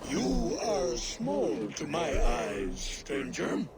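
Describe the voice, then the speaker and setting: An actor playing an imposing, condescending giant in a video game, formal in speech. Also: male voice, sits high, huge pitch swings, extremely slow, exaggerated voice. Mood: haughty. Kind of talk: video game cutscene acting. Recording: loud noise behind the voice.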